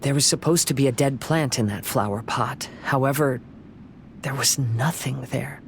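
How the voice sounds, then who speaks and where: An actor playing a serene young wandering samurai poet in a game, speaking gently in a measured, poetic way. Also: male voice, mid-range voice, vocal fry, slight background noise, close to an everyday voice. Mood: reflective.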